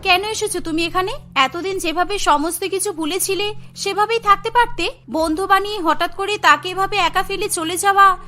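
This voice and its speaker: Woman voicing a bitter young food seller, very high-pitched. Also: moderate pace, bright, forceful tone, faint music behind. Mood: angry, sarcastic. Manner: reproachful, emphatic.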